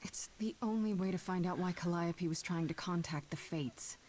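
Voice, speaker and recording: An actress playing a determined, blunt young mortal heroine in a video game, earnest and questioning. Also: female voice, lowish voice, normal speed, darkish tone, slight background noise, close to an everyday voice. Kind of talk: dramatic video game voice acting. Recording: music under the voice.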